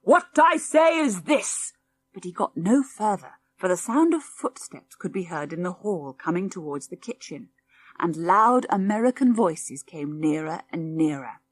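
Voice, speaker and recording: A woman reading a children's audiobook as narrator, in expressive scripted storytelling. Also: lowish voice, moderate pace.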